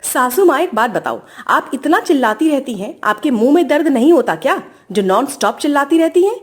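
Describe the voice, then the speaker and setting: Woman acting a high-voiced, defiant young wife. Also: very animated, fairly quick. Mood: cheeky, annoyed. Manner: whiny, back-talking. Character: defiant, complaining young daughter-in-law.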